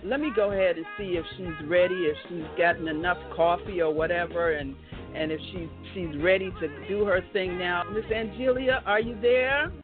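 A woman's voice: on the low side, normal speed. Phone-like sound, music behind, American woman, talk show host, exuberant, devout, chatty. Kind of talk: upbeat chatty hosting with prayer. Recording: band-limited phone-like line, music behind.

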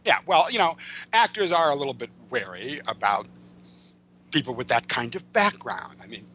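A man chatting as a podcast guest, telling anecdotes in a halting way, often repeating words as he searches for the next one. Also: mid-range voice, big pitch swings, pushed and bright. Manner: halting, stammering over words as he recalls things. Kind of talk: casual chat, telling showbiz anecdotes. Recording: hum and background noise.

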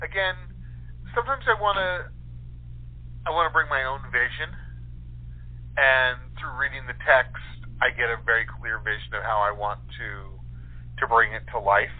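Man, interview guest. Casual, halting, thoughtful delivery; narrow telephone sound. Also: medium pitch. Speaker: interview guest, playwright and director. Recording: tinny phone line.

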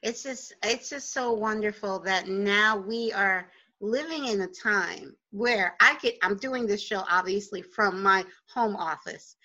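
A woman's voice: mid-range voice, a little slow, pushed and bright, low-quality recording. A woman with a New Jersey accent hosting an interview from her home office, talking in a chatty, rambling way and laughing easily. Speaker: radio show host. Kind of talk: casual interview chat.

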